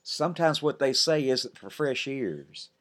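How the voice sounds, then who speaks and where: A man with a deep voice narrating, here voicing a brisk, proper young southern woman who teaches school. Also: expressive, a bit bright. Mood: scolding.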